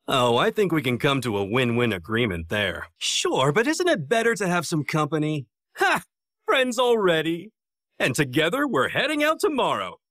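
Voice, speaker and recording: A man's voice: medium pitch, a bit bright, dry recording, studio quality. A man voicing a cocky, playful young sorcerer teacher in an anime dub, with a breezy, teasing, theatrical manner. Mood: playful, upbeat. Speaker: voice actor in an English anime dub. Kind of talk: animated dub dialogue.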